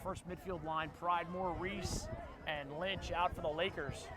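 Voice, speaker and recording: A man with a Philadelphia accent calling a lacrosse game play by play, quick and jokey, shouting on big plays. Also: on the high side, steady tone. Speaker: play-by-play commentator. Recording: outdoor broadcast with loud crowd and noise.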